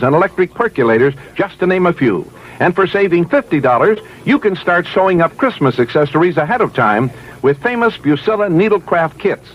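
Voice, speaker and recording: A man's voice: high voice, husky. A man working as a commercial announcer, reading an upbeat 1970s radio ad. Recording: dull old radio commercial.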